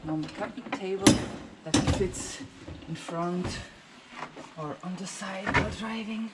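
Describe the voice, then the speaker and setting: A woman in her thirties giving a casual, halting demonstration to camera, as if searching for words. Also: mid-range voice. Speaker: vlogger demonstrating a camper van setup. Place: inside and beside a van in a large metal shed. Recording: clearly noisy, clattery shed recording.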